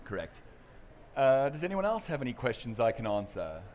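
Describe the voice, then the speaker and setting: South African male presenter, conference stage, relaxed, filler-heavy delivery, faint hiss behind. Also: mid-range voice, moderate pace. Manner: casual and chatty, many fillers and restarts. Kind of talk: conference seminar talk with audience questions.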